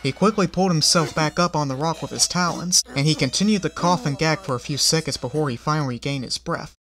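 A fanfiction reader narrating a storybook in a steady, even way. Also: medium pitch, fast. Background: faint music.

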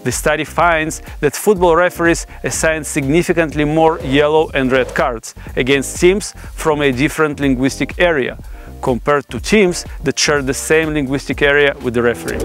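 A man in his forties with a slightly high voice delivers a scripted explainer. His phrasing is formal and academic, as a presenter setting out research. Music plays faintly behind him. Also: moderate pace. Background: background music.

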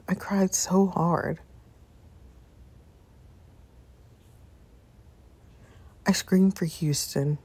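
A woman voicing a grieving young wife in first-person story narration, halting, hushed and anguished. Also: low voice, slightly rough, no room sound. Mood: grief-stricken. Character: grieving young wife confessing pain and regret.

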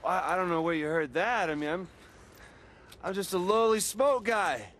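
A man's voice: high-pitched, normal speed, fairly bright tone, slight background noise. A young man with an American accent acting a cocky, sarcastic, wisecracking superpowered rebel in a video game. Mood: flippant bluffing. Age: young adult. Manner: flippant, sarcastic.

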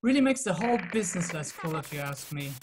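A man's voice: a little high, very animated, quick pace, a little hiss or hum. A young man playing an impatient, old-fashioned gangster boss in a crime drama, slangy and grumbling into a laptop.

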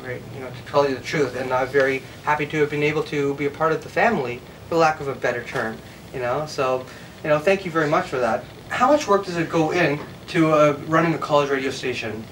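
A man's voice: fairly high pitch, fast talker, a bit bright. A man in his thirties interviewing guests as a show host, chatty and playfully enthusiastic. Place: room with couches, amps and instruments. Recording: slightly distant mic, faint room noise.

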